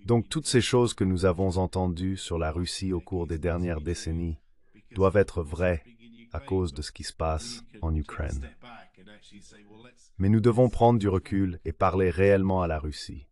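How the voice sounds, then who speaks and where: A man's voice: low-pitched, normal speed, a little mellow. A synthetic male voice delivering a translated voice-over of an interview guest's answers.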